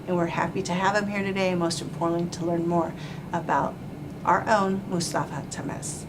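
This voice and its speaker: A woman hosting an interview, warm and formal, full of praise and asides. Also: lowish voice, steady tone, a little fast, noisy background. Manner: effusive, praising, fond of "you know" and "like". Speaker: interview host, chamber of commerce president.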